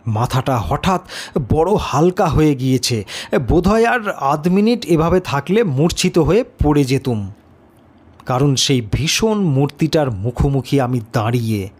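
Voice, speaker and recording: Casting: male audiobook storyteller for suspense tales, slightly high-pitched, unhurried and deliberate, dramatic pauses. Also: expressive, a little mellow, breathy edge. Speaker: story narrator.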